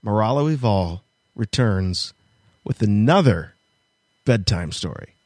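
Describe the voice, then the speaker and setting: A man's voice: lowish voice, animated, slow, a little mellow, no room sound, clean studio sound. A man co-hosting a podcast in casual conversation. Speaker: podcast co-host. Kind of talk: casual chat.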